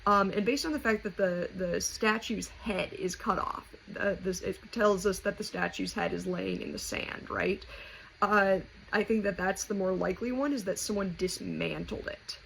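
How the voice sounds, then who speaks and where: A woman's voice: medium pitch, normal speed, fairly bright tone. A woman hosting a casual explanatory lesson, talking informally and conversationally as she thinks aloud. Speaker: host of a poetry explainer. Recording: clearly noisy home recording.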